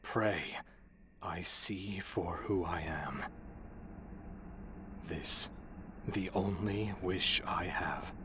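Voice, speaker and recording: A man's voice: sits low, extremely slow, pauses often, pushed and bright, a little hiss or hum, exaggerated voice. A man playing an anguished, pleading young man in a fantasy visual novel, with night crickets and owls behind him. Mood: solemn, forlorn. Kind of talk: dramatic visual novel voice acting.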